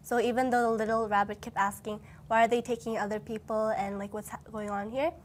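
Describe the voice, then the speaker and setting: Thoughtful eighth-grade girl, higher voice. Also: little pitch movement, fairly quick, a little hiss or hum. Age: young teen. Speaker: student.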